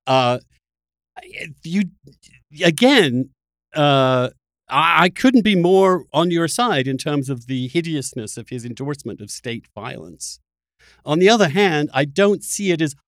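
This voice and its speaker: A man hosting a conversational political interview, probing and debating with halting, repeated phrasing. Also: a little high, no room sound, clean studio sound. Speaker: podcast host.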